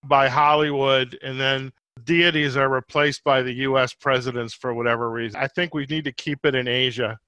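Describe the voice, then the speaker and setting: Bright-voiced man hosting a podcast. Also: medium pitch, steady tone, moderate pace, hardly any pauses, clean tone, dry recording. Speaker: podcast host. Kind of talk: conversational interview hosting.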